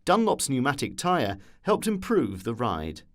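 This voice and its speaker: Man narrating with very varied pitch. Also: wildly swooping pitch, a little slow. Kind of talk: scripted documentary narration.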